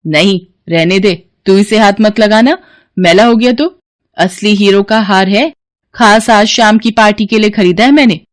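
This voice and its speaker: A woman's voice: medium pitch, unhurried, close to an everyday voice. A woman voicing an arrogant, greedy rich mistress in a story, bossy and scornful. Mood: boastful, scornful.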